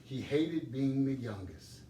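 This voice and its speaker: A man reading a children's storybook aloud as an expressive storyteller. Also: mid-range voice, slow pace. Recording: roomy, distant microphone, background noise.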